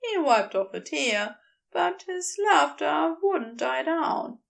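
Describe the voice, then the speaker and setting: A woman's voice: sits high, very animated, takes it slowly, creaky. A woman narrating scripted fiction expressively as the reader of an audio story. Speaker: podfic narrator.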